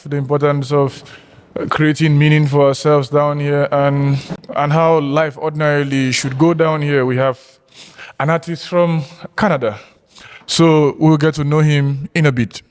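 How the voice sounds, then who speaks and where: A man's voice: on the high side, clean tone. A man hosting an interview podcast, who asks reflective questions and repeats phrases for emphasis. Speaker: podcast host. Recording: hall reverb.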